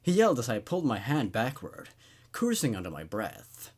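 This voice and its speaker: A man hosting a horror story reading, narrating in an expressive, conversational, laid-back way. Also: mid-range voice, moderate pace. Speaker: host reading horror stories aloud.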